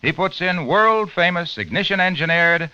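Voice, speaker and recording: A man with an American accent giving an emphatic, salesmanlike pitch as an old-time radio commercial announcer. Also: expressive, moderate pace, sharp tone, clean tone. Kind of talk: 1940s-50s radio advertising pitch. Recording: dry, muffled vintage radio recording.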